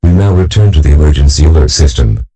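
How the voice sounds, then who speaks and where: A man playing a solemn broadcast announcer with a gravelly voice, speaking in a formal, portentous way. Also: very deep voice, lively intonation, fast, very noisy.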